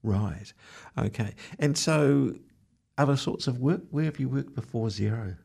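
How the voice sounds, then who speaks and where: A man's voice: medium pitch, measured pace, gravelly, darkish tone, husky. A man hosting a show and asking his guest questions in a casual, conversational way. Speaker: radio or podcast interviewer.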